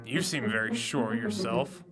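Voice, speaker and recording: An actor playing a brash, suspicious young amateur detective in an audio drama, with pointed, accusatory questioning. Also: male voice, mid-range voice, steady tone, normal speed, loud music behind the voice, natural voice. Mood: probing, confident. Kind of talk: scripted audio drama acting.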